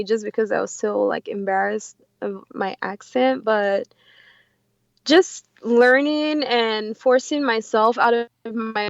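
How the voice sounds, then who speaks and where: A woman's voice: a little high, gravelly, no room sound. A woman in her twenties with a Cameroonian accent, chatting casually as an interview guest on a slightly muffled recording. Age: 20s. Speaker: podcast guest, entrepreneur.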